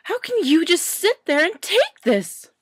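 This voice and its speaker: A woman's voice: extremely high pitch, sing-song, measured pace, dry recording, studio quality, pitched above the performer's own voice, heavily acted. A woman reading an audiobook story and voicing an indignant, stubborn young witch in accusatory, incredulous outbursts. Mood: outraged.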